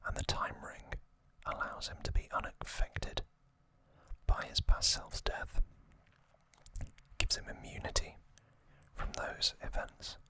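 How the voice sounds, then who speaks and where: A man whispering a casual ASMR recap in short, hushed, unhurried phrases. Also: low-quality recording. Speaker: ASMR host.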